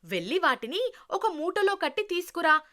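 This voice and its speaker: Clever, calm trickster husband in a children's storybook read by a woman, high and bright, deliberate. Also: exaggerated voice. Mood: feigned worry. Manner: deliberate, meant to be overheard. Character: clever, calm man scheming to fool eavesdropping thieves.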